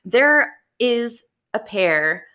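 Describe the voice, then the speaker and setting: A woman's voice: a little high, animated, extremely slow, pushed and bright. A woman co-hosting a podcast, telling candid stories and joking along in casual, sweary conversation. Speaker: podcast co-host. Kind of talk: casual storytelling and banter.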